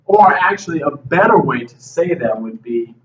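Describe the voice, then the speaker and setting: A man teaching English grammar at a whiteboard, explaining slowly and patiently with frequent checks of "okay". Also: fairly high pitch, lively intonation, fairly bright tone. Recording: roomy, distant, dull classroom audio.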